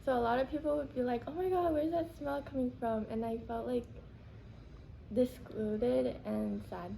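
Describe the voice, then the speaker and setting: A woman's voice: on the high side, fairly even intonation, normal speed, gravelly, mellow, slight background noise, rough recording. A woman giving casual interview answers, using frequent "like" fillers.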